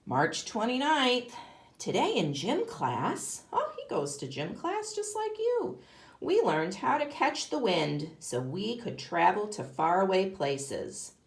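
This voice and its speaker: A woman kindergarten teacher reading a picture book aloud expressively to young children, pausing to ask them questions. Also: medium pitch, wildly swooping pitch. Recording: roomy, echoey sound.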